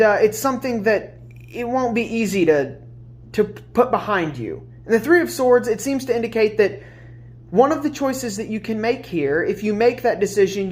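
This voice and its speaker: A congested woman, a little under the weather, giving a casual collective tarot reading, chatty with many fillers and restarts. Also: mid-range voice. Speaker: tarot reader.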